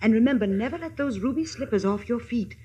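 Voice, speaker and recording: A woman's voice: on the high side, lively intonation, quick pace, dark tone, husky. An actress playing a kindly, self-assured good witch, grand and soothing, in a 1950s radio play. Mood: reassuring. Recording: old radio broadcast with music behind.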